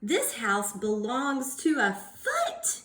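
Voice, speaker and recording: A woman voicing a discontented, bossy female bird in a children's storybook, with emphatic, drawn-out words. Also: on the high side, huge pitch swings, a bit bright, reverberant, full character voice. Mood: scolding. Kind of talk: storybook read-aloud for young children.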